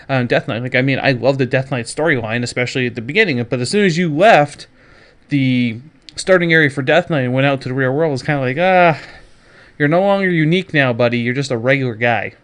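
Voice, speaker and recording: A man's voice: medium pitch, fast. Male podcast host, casual solo gaming commentary, blunt and opinionated, sweary, prone to sarcastic asides. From Canada.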